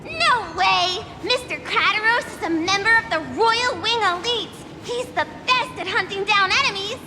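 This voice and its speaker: A woman voicing a boastful, excitable small child in a video game, emphatic and childlike in manner. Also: extremely high pitch, normal speed, noisy background. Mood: sure, boastful. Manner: bright-eyed, emphatic, childlike. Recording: long reverb, very loud music behind.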